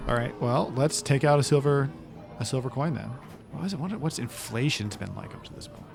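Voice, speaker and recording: A man's voice: mid-range voice, lively intonation, a little fast, vocal fry, over loud music. A man playing a tabletop game, chatting casually in a musing, questioning way.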